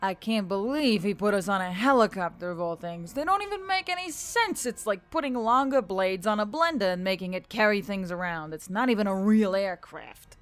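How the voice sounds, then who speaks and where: A grumpy female centaur from a storybook , acted by a woman narrator, grumbles disdainfully. Her voice is mid-range, with soft music underneath. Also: mid-range voice, lively intonation, normal speed, hardly any pauses, close to an everyday voice. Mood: grumpy disdain.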